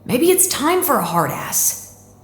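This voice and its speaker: An echoey room frames an actress as an irreverent female team leader. Her delivery is casual and teasing, with lively swings in pitch, in an easygoing audio drama style. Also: takes it slowly, exaggerated voice. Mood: rueful.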